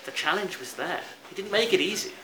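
A man's voice: sits high, lively intonation, fairly quick, sharp tone. A British man preaching a sermon in an earnest, reflective manner, stressing key words as he goes. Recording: roomy echo, faint background noise.

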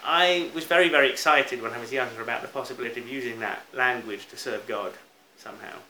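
A man's voice: medium pitch, quick pace, bright, forceful tone. A British man preaching a sermon in an earnest, reflective manner, stressing key words as he goes. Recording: roomy echo, faint background noise.

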